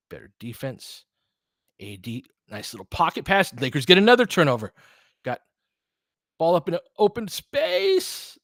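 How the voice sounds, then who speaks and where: A man's voice: medium pitch, fairly bright tone, no room sound, studio quality. A man calling live basketball play-by-play with casual analysis, informal and openly rooting for his team like a fan. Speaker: play-by-play commentator and host.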